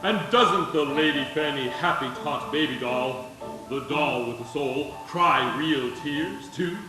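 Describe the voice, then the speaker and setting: A man's voice: on the high side, a little slow, close to an everyday voice. A man playing a jovial, avuncular department-store Santa selling gifts in an old-time radio commercial. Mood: jovial, salesy. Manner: hearty, salesy. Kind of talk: 1940s radio commercial acting. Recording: echoey hall, distant, music behind.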